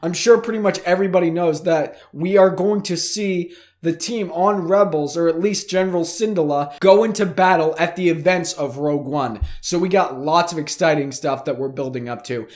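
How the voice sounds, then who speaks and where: A man with a hoarse, fading voice after the flu, hosting a weekly fan news show in a casual, eager way and talking straight to his viewers. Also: on the high side, normal speed, few pauses, a little mellow. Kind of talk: casual news commentary to camera.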